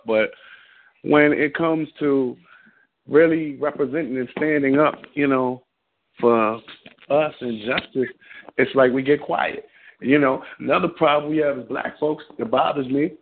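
A man's voice: on the high side, slight background noise. A man calling in to a talk radio show, blunt and impassioned, talking in long rambling runs. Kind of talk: call-in radio commentary. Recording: tinny phone line.

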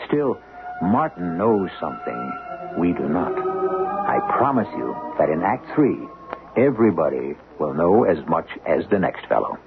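A man narrates as host of a mystery radio play in a low, deep register. His delivery is measured and rhetorical. Music plays behind him, and the sound is that of a narrow old broadcast. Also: very animated, gravelly. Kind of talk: dramatic scripted narration.

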